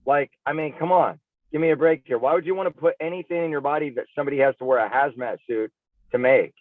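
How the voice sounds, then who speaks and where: Tinny phone-like, emphatic man making his pitch. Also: fast, no echo. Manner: emphatic, punchy, rhetorical questions. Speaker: interview guest, chiropractor. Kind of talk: persuasive pitch in casual interview. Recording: tinny phone-like radio-style sound.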